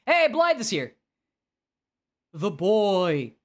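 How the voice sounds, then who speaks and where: A man's voice: high-pitched, drawn-out words. Nasal man chatting on a game stream. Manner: expressive, self-deprecating, breaking off to answer chat. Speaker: game livestreamer. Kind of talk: casual livestream chat while gaming.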